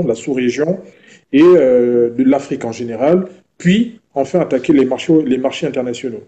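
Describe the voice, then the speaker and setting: A man in his thirties from Gabon answers interview questions. His voice is very dark and mellow. He speaks in a measured way and often pauses to hesitate. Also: mid-range voice. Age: 30s. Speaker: entrepreneur guest.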